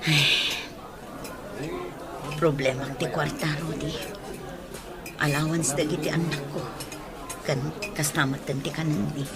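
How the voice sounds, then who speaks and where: A woman's voice: deep voice, fairly even intonation, takes it slowly, long pauses, a loud crowd. A woman acting a careworn, struggling mother with a Filipino accent in a radio drama. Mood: composed, motherly. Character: careworn, struggling mother of a teenage daughter, quarrelling with her husband.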